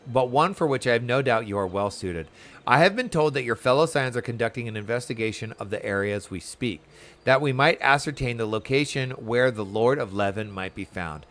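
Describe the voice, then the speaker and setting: A formal fantasy game officer, read aloud by a man, speaks with measured courtesy. The phrasing is archaic and polite, in a medium-pitched voice. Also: fairly bright tone, close to an everyday voice. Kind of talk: reading game dialogue aloud.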